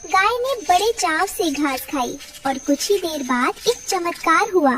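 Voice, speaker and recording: A woman's voice: extremely high pitch, lively intonation, a little slow, fairly bright tone, distant mic, a little hiss or hum. A woman narrating a dramatic cartoon story in a scripted storytelling style.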